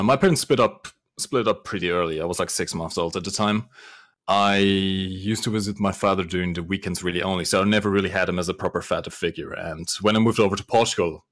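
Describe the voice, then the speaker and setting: A young man from Germany whose first language is German talks about his past in a fairly low voice. He answers thoughtfully and hesitantly, with fillers and restarts along the way. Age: young adult. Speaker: interview guest. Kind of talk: casual interview.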